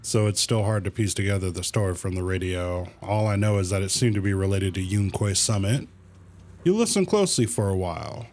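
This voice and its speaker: Low-voiced man streaming games, laid-back and colloquial. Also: animated, a little fast, a little mellow. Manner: laid-back, colloquial, mutters hums and exclamations to himself. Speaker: game streamer. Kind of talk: casual livestream game commentary, reading dialogue aloud.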